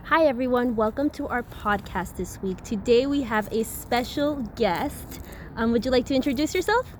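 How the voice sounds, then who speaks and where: Female interviewer for a community podcast, higher register, slight background noise. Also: expressive, normal speed. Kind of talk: scripted-sounding friendly interview questions.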